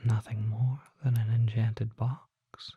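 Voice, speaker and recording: Slow, breathy whispering vampire voiced by an ASMR performer. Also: mid-range voice, little pitch movement, mellow, no room sound, muffled recording, exaggerated voice. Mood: hushed, mysterious. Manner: slow, deliberate, measured pauses, formal wording. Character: mysterious, learned vampire apothecary showing magical treasures. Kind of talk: whispered ASMR roleplay, slow scripted monologue. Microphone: close microphone.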